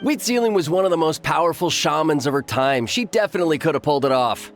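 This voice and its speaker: High-voiced, indignant young-sounding man played by an actor. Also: lively intonation, quick pace, soft background music, natural voice. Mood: indignant, insistent. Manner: insistent, emphatic, indignant. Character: hot-headed, stubborn male tribesman defending old legends. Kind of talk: video game voice acting.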